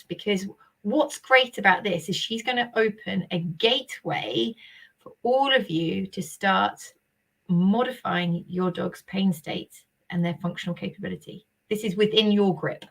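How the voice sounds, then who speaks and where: A woman's voice: medium pitch, a bit bright, rough recording. A woman hosting a live-streamed interview, talking in an enthusiastic, bubbly and emphatic way. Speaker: host.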